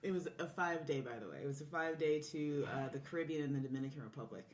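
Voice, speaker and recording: A woman's voice: low voice, rapid-fire, darkish tone. A woman talking casually as a guest on a podcast. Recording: roomy, distant mic, faint noise.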